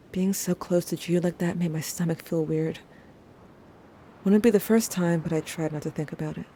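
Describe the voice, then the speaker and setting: A woman playing a brooding, wry young narrator who confides softly and casually in an audio drama monologue. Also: fairly low pitch. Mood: uneasy, pensive. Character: brooding young narrator, wry, wounded, questioning gender, addressing lost sibling.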